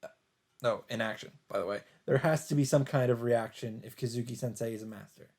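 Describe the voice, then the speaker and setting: Mellow-voiced man reading a game aloud. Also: mid-range voice, brisk. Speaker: let's player. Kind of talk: reading visual novel narration aloud.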